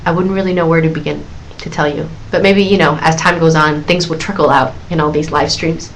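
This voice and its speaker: A woman in her thirties answers viewers' questions on a live stream, chatty and giggly, with plenty of fillers and restarts. Her voice sits low. A faint hiss runs underneath. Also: steady tone, fairly quick, rough recording. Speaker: singer hosting a live Q&A stream.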